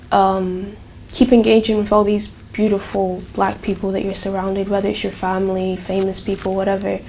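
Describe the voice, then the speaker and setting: A woman's voice: mid-range voice, a little mellow, dull audio, slight background noise. A woman in her twenties with a light Glaswegian Scottish accent, answering interview questions casually with many ums and likes. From Burundi. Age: 20s. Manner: chatty, many fillers like um and like. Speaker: student interviewee.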